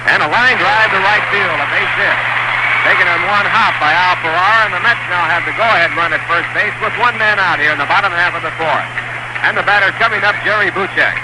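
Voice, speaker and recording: A male announcer giving steady, measured play-by-play of a baseball game on a 1960s radio broadcast, rising when the action picks up. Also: high voice, very noisy. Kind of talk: live baseball radio commentary. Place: ballpark broadcast booth.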